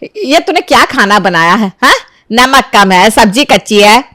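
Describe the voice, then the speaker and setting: The voice is an older mother-in-law, acted out by a woman, domineering and quick to scold. It rises high and loud into accusing questions, in the manner of a family cartoon, with a dry, close sound. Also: lively intonation, no room sound. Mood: nagging, irritated. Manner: nagging, accusatory, sharp questions.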